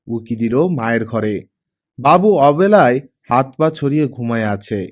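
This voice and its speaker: A man's voice: mid-range voice, a little mellow. A man reading a scripted story as an audiobook narrator.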